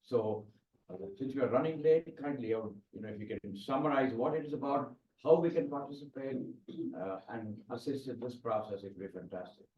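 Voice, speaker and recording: A man's voice: mid-range voice, fairly quick, a little hiss or hum. Polite man moderating a panel. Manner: polite, hesitant with uhs. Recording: roomy, distant, dull sound.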